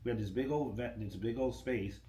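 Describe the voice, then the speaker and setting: A man's voice: mid-range voice, brisk. A middle-aged man, a guest on a podcast, talking casually and rambling with frequent restarts about running a radio station. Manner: relaxed, rambling, restarts often. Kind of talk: casual interview chat. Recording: echoey room with faint ambient noise.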